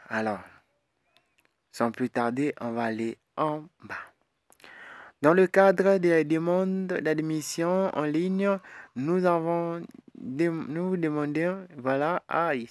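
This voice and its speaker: A man's voice: fairly high pitch, dry recording, studio quality. A man hosting a tutorial channel, walking viewers through an online application in a chatty, repetitive way, a little out of breath. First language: not English; French preferred language. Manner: chatty, repeats himself, many fillers. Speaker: YouTube channel host giving an application tutorial. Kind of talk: casual screen-recorded walkthrough.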